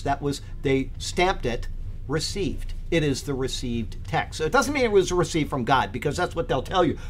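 A man's voice: fairly high pitch, slight background noise. A man teaching a Bible study in a loose, conversational way, rambling with frequent fillers and restarts. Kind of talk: casual Bible study talk.

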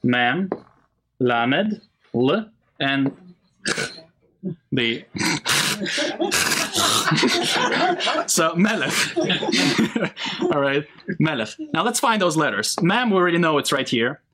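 A man's voice: a little high, extremely slow, pauses often, slightly rough. A man from Israel, a Hebrew speaker, teaching a Bible lesson and quizzing his audience. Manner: animated, asks the audience questions. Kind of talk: classroom lesson with a live audience.

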